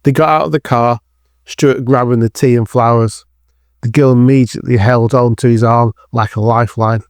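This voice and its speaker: A man's voice: medium pitch, fairly even intonation, normal speed, a little mellow, clear, resonant tone, no echo. A man reading scripted narration for an audio drama as its narrator.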